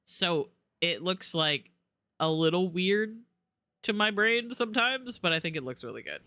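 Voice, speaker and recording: A man's voice: sits high, lively intonation, a little slow, sharp tone, dry recording. A man giving casual live gameplay commentary as a streamer, affectionate and gushing, close on the microphone. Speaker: video game streamer.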